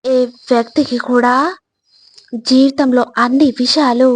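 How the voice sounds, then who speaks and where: A woman reading scripted motivational narration in a measured, instructive way, phrase by phrase. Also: high-pitched, flat delivery.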